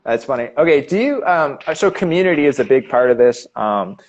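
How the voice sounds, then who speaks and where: A man from Canada hosts a casual live conversation, stopping and restarting his sentences as he thinks. His voice sits in an ordinary middle pitch. The recording has a slightly muffled, lo-fi quality. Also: lively intonation. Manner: halting, many restarts and fillers. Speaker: host.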